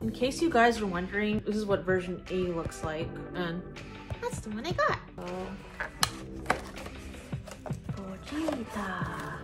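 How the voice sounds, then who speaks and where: A woman's voice: medium pitch, big pitch swings, normal speed. A young woman chatting casually to viewers as an unboxing vlogger, gushing over cute things and talking to objects as she handles them. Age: young adult. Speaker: vlogger unboxing collectible figures. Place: indoors, living room at home. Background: background music.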